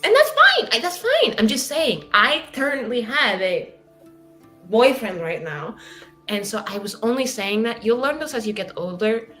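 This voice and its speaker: Woman playing a bright, swooping, chatty flirt. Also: a little high, very animated, moderate pace, soft background music. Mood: cheeky, reassuring. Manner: gushing, cheeky, rambling.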